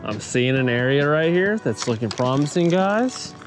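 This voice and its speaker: A man narrating his own fishing challenge from a small boat on a lake, excitable and quick to holler and laugh. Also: fairly high pitch, over music. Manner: excitable, hollers and laughs when a fish bites. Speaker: fishing youtuber. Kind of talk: energetic fishing vlog narration.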